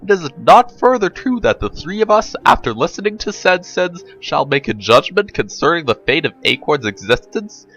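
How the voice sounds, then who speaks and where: Man as a pompous arbiter, animated and formal. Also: fairly high pitch, normal speed, hardly any pauses, soft background music, rough recording. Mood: solemn. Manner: stately, pompous, rhetorical. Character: pompous, formal male arbiter with philosophical airs.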